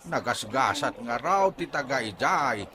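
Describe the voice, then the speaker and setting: A man's voice: medium pitch, animated, a little slow, bright, forceful tone, slight background noise. A man playing a respectful, grateful village evacuee in a radio comedy drama, with an Ilocano accent.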